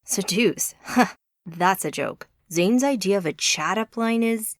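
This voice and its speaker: A woman voicing a wry, softer-spoken American man in a trench coat, with an American accent and a mocking tone. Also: medium pitch, lively intonation, a little slow, no room sound, clean studio sound, natural voice.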